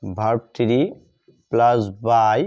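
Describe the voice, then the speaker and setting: A man gives a lesson in a very slow, measured way, dictating and repeating phrases word by word. His voice is mid-pitched and somewhat mellow. The recording sounds muffled and lo-fi. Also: level tone, clear, resonant tone. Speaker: English grammar teacher. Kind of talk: step-by-step lesson, writing out examples. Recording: dull lo-fi sound.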